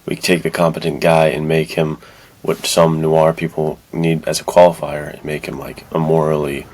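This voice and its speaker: A man's voice: a bass voice, little pitch movement, moderate pace, a little mellow. A man co-hosting a podcast, talking casually and enthusiastically about films with strong opinions. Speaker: podcast co-host. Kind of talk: casual film discussion.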